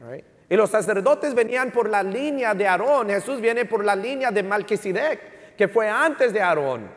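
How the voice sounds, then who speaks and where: A man's voice: sits high, animated, normal speed. A man preaching as a pastor in an emphatic, teaching way, repeating key phrases and switching between Spanish and English. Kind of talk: sermon with rhetorical questions to the congregation. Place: large indoor church hall. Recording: reverberant hall sound.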